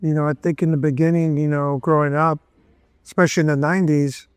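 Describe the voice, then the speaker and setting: A man's voice: on the high side, little pitch movement, fairly quick, smooth, no echo. A man in his thirties from Miami, talking loosely as an interview guest in a café, full of "you know"s. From Miami, USA. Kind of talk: casual interview.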